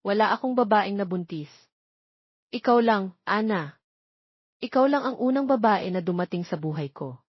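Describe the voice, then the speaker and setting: A woman's voice: medium pitch, expressive, normal speed, long pauses, husky, no room sound. Woman voicing an earnest, pleading Filipino suitor, muffled. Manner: pleading, sincere. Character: earnest, devoted young male politician in love. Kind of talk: audiobook romance dialogue.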